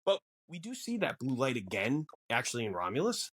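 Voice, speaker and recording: This is a podcast co-host in relaxed fan discussion. The delivery is musing and questioning, with very animated pitch movement. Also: a little fast. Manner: musing, poses questions aloud.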